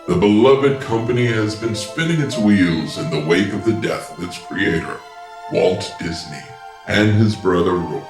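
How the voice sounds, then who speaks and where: A man delivering dramatic scripted narration for a radio play, with music behind him. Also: low-pitched. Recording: roomy echo.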